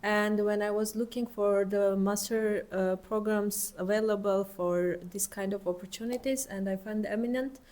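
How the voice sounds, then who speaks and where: A woman's voice: medium pitch, steady tone, moderate pace, hardly any pauses, darkish tone. A woman in her twenties from Armenia with an Armenian accent, a student answering conversationally on a panel. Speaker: master's student, podcast guest.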